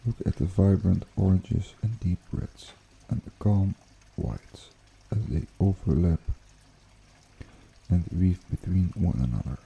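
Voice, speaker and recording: A man hosting an ASMR guided meditation in a hushed, unhurried, soothing way with gentle pauses. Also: deep voice, vocal fry, breathy edge, muffled recording. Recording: water trickling behind the voice. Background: pond water bubbling and trickling.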